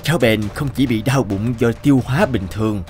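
A man playing a grave hospital doctor in a cartoon, formal, serious and measured. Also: mid-range voice, darkish tone, lightly acted. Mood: grave, regretful. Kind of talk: cartoon dubbing. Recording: music behind.

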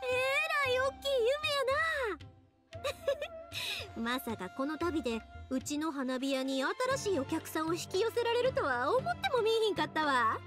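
A woman playing a cheerful, warm-hearted young fireworks maker in an anime-style game, speaking expressive, friendly Kansai dialect. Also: extremely high pitch, normal speed, music in the background. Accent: Kansai Japanese. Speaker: voice actor in a video game.

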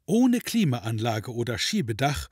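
An actor playing the wry narrator of a detective comedy audio drama, reading scripted narration. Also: male voice, medium pitch, big pitch swings, measured pace, darkish tone, slightly rough, no room sound, clean studio sound. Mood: dryly ironic.

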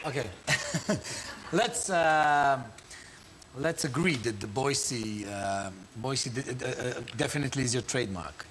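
A man's voice: mid-range voice, a bit bright, slight background noise. A man with a Serbian accent hosting a talk show in English, not his native language, joking and hesitating with fillers. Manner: jokey, halting with fillers.

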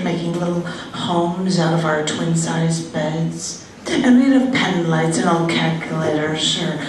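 A middle-aged woman giving an expressive, conversational reading of a personal narrative poem at an open mic. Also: on the low side. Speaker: poet. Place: indoor room at a poetry open mic. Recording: echoey, noisy room, distant-sounding live recording.

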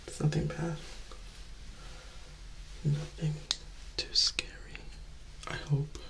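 Man whispering as a slow, seductive male vampire. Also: a little high, level tone, vocal fry, roomy sound, full character voice, poor audio. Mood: teasing. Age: 20s. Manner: slow, hushed, teasing, with lingering pauses. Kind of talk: whispered ASMR roleplay, soft spoken. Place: small indoor room or hallway, close to camera.

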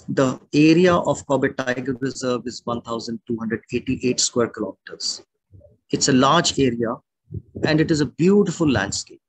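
A middle-aged man with an Indian accent, a naturalist giving a measured, explanatory talk over a video call. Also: mid-range voice, husky, no room sound, low-quality recording. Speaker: naturalist and birding guide. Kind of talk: online illustrated talk. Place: indoor room at home or office, on a video call. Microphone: video call microphone.

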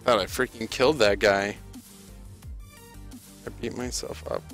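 A young man giving casual, playful and excitable live commentary while he plays a video game. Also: fairly low pitch, a little slow, faint music behind. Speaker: game streamer. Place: indoor room at a streaming desk.

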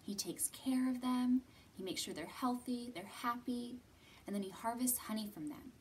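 An American woman in her thirties speaks as a children's storytime host, in a slightly high voice. She takes her time, with simple, encouraging instructions for young listeners. The mic sits a little way off in an indoor room, with faint noise behind her. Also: normal speed, a little mellow. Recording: distant mic with background hiss.

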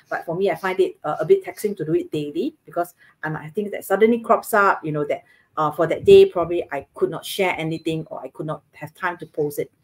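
A Singaporean woman in her thirties speaks from her living room over a webcam, explaining her routine earnestly with plenty of fillers. Her voice is slightly low and her Singaporean English accent is clear. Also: a little mellow. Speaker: co-host. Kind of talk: casual live-stream chat. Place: home living room, indoors, video call. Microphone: webcam on video call.

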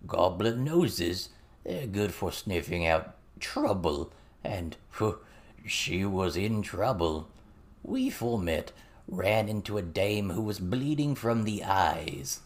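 Slow, croaking, pompous little goblin. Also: male voice, deep voice, lively intonation, full character voice. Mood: shifty, explaining. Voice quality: froglike croak. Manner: slow, drawn-out delivery with pompous slang. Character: small male goblin, mock-aristocratic, slangy, shifty.

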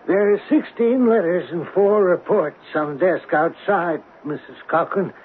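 An actor playing a guarded, fussy office boss in a 1970s radio drama, formal, hesitant and curt. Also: male voice, high voice, a little slow. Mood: guarded, brisk. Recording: muffled, lo-fi, noisy old radio broadcast.

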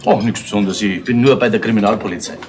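A man's voice: fairly low pitch, fast talker, a little mellow, natural voice. A man playing a chatty policeman. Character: chatty male police officer Fröschel. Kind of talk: 1970s radio crime drama.

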